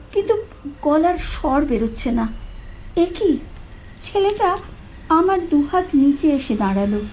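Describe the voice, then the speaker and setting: A woman narrating a first-person horror story in an expressive, suspenseful, dramatic scripted style. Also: high voice, a little hiss or hum, poor audio. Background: atmospheric sound effects.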